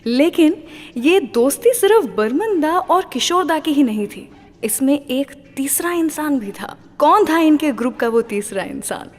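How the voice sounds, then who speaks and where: A woman hosts a radio show, spinning a chatty, teasing story between songs. Her voice sits a little high, with a long reverb trailing each phrase. Soft music plays faintly underneath. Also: expressive, a little slow. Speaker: radio host.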